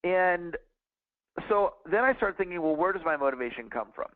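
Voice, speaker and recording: A man's voice: high voice, expressive, moderate pace, dry recording. Earnest man on a tinny phone line. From California. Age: 40s. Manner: earnest, conversational, repeats words and restarts. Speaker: sales trainer. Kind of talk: motivational teaching call.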